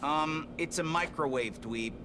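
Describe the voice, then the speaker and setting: An actor playing a hot-tempered teenage mutant turtle in a cartoon game, sarcastic and full of gruff street slang. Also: male voice, on the high side, a little slow, background noise, close to an everyday voice. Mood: impatient, sarcastic. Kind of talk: video game cartoon acting. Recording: music behind the voice.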